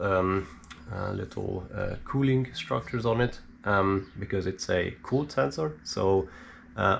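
Low-voiced man, halting and gravelly. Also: slight background noise. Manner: hesitant, halting, thinking aloud with many pauses. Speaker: hobbyist presenter showing his equipment. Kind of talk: unscripted hands-on technical explanation. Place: indoor room at a cluttered worktable.

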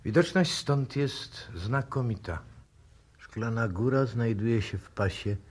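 An actor playing a grim, fatalistic professor in a 1960s radio drama, deliberate and ominous, moving between hushed and forceful delivery. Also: male voice, mid-range voice, breathy edge. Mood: calm, assured. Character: grim, fatalistic male professor calmly awaiting a deadly explosion. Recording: noisy old radio drama recording.

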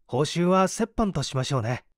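A woman's voice: deep voice, expressive, normal speed, no room sound, clean studio sound. A woman voicing a hungry, jobless man who is brazen and wry, with polite but pushy old-fashioned speech, in a story reading. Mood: insistent.

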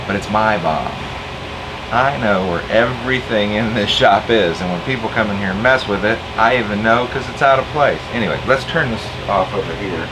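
A man in his forties with a lowish voice explains, step by step, a project he built himself. He talks casually, with plenty of fillers, in a cluttered home workshop. A camera mic a few feet away catches him over a clear background hum. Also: normal speed. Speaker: boat owner and DIY tinkerer.

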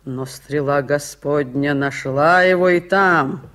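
Radio play: bitter, mocking fallen heiress and seeress, theatrical delivery. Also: female voice, deep voice, takes it slowly, a bit bright. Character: sardonic, embittered ruined heiress turned professional prophetess. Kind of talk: Soviet radio play acting.

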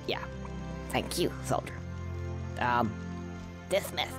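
An actor voicing a sarcastic, excitable male squirrel soldier in a video game. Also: mid-range voice, takes it slowly, over loud music. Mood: wry.

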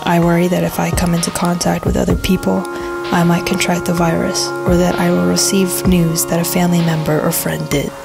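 A woman speaking earnestly and in a measured way, sharing a reflective answer. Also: on the low side, level tone, vocal fry. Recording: music playing underneath.

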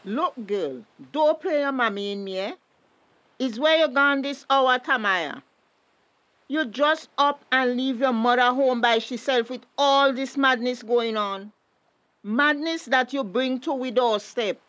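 Protective scolding mother, Tobagonian accent, highish voice, unhurried, lecturing, radio drama. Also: fairly bright tone, dry recording, dull audio. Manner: reproachful, lecturing.

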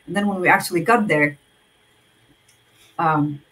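A woman in her thirties from Egypt, telling her story on a video call, halting with many fillers and restarts. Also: on the low side, fairly bright tone, low-quality recording. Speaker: guest speaker.

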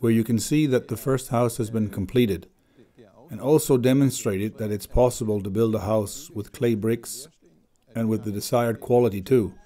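A man reading a documentary voice-over in a calm, measured way. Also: on the low side. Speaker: voice-over interpreter.

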